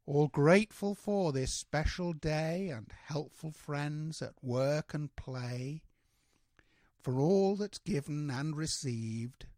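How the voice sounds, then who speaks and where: An older man, a grandfather, reading a rhyming children's storybook aloud in a measured, expressive way with drawn-out words. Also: on the high side, no echo, clean studio sound.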